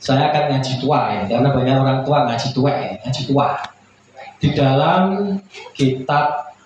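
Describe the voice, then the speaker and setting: A man's voice: mid-range voice, normal speed, darkish tone. A man, giving an informal Islamic sermon in a folksy, humble, joking way, chatting with his listeners over a public address microphone. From Indonesia. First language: regional Indonesian village language. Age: 40s. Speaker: Islamic preacher. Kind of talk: informal religious sermon to a congregation. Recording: very echoey, muffled, noisy PA recording.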